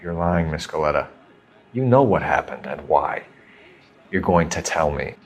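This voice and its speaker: An actor playing a stern, clipped American police officer in a 1940s crime drama video game, authoritative and formal. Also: male voice, a bass voice, lively intonation, normal speed, exaggerated voice. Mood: forceful, accusing. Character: stern young male police officer turned investigator, forceful when questioning.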